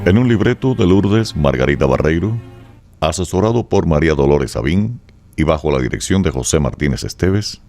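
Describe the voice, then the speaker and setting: A man's voice: rumbling low, lively intonation, moderate pace, a little mellow, faint music behind. A man narrating a radio drama from a script, in a formal storytelling style.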